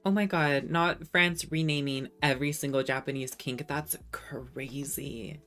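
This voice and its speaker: Low-voiced rambling Californian woman streamer. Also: steady tone, measured pace, fairly bright tone, soft background music. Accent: Californian American, shifted by time abroad. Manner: rambling, many ums and restarts, laughs often. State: feverish. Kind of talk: casual live-stream chat reading comments.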